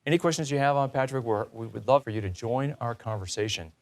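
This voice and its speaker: A man's voice: mid-range voice, fast talker. A man hosting a religious talk show, interviewing in an earnest, reflective, conversational way.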